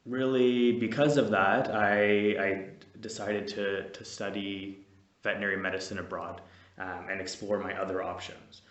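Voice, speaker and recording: Young guy, lowish voice, kinda nervous and rambly, recorded from a bit far off in a roomy space. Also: dull audio. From Canada. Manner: nervous, rambling, many fillers and restarts. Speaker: vlogger, veterinary student. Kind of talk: casual to-camera vlog. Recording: echoey room, distant mic.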